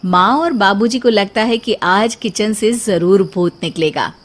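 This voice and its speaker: A female storyteller reads scripted narration. Her voice sits at a middle pitch for a woman, telling the tale in the third person. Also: a little slow, a little hiss or hum.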